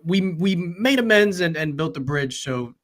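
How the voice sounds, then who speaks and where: Earnest man with a high-ish voice. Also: animated, measured pace, a bit bright. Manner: earnest, explains at length with fillers. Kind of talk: casual chat.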